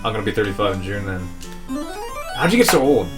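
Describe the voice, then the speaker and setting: A man in his thirties chatting casually, joshing his friends and giving quick directions. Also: fairly low pitch, very animated. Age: 30s. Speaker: friend on a charity game stream. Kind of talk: casual banter. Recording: game music behind. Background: game music.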